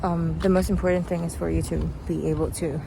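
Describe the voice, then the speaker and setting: A woman's voice: fairly low pitch, little pitch movement, a little fast, vocal fry. Rambling young woman, noisy street behind. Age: young adult. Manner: rambling, reflective. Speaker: vlogger. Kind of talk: casual chatty vlog monologue. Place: outdoors, walking. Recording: noisy outdoor street with traffic.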